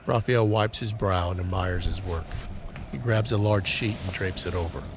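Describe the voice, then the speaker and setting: A man narrating a scripted audio drama in a deliberate, dramatic manner. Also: low voice, background noise. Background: dramatic music.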